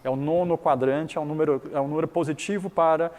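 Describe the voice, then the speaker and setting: A man's voice: a little high, steady tone, fast talker, a little mellow, long reverb tail. A middle-aged man from Taiwan whose first language is Chinese, explaining his business in an interview, often restarting and repeating words.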